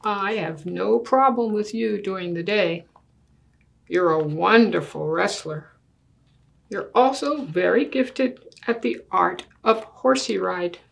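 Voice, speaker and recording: An older woman reading a picture book aloud to children in a deliberate manner, putting questions to her listeners. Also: mid-range voice, creaky. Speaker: story time reader. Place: small indoor room with books and wall quilt.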